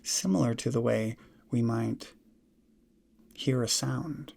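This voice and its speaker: A man guiding a meditation in soft, breathy, measured short phrases with long pauses, often trailing into a near whisper. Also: medium pitch. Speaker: meditation guide. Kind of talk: guided meditation, slow instruction.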